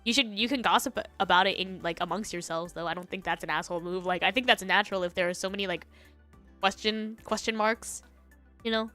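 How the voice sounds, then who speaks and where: A woman hosting a livestream, chatting casually and reacting to online stories in a giggly, animated way. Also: medium pitch, a little fast, faint music behind. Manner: giggly, animated, breaking into laughter. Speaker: livestream host.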